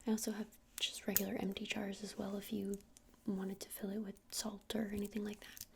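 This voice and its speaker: A woman's voice: medium pitch, completely flat delivery, background noise. Whispering woman, ASMR witch shopkeeper. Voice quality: breathy unvoiced whisper. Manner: hesitant, unhurried, soft-spoken. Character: gentle witch minding a crystal shop. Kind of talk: whispered ASMR shop roleplay.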